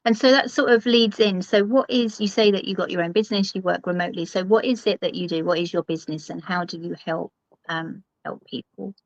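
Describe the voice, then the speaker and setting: A woman's voice: lowish voice, fairly even intonation, a little fast, no echo, lo-fi sound. A woman hosting an interview, friendly and brief in her reactions as she hands over to the guest. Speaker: interview host. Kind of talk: casual interview over a video call.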